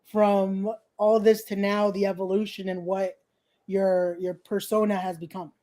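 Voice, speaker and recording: Mellow-voiced podcast host from Ecuador. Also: medium pitch, little pitch movement, unhurried. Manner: enthusiastic, repeats words while searching for them. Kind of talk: casual interview chat.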